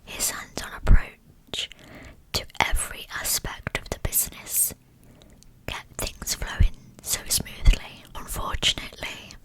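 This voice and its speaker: A woman whispers throughout in a soft, breathy ASMR style. She reads scripted narration very slowly, with deliberate, measured phrasing. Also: poor audio. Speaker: ASMR host narrating true crime.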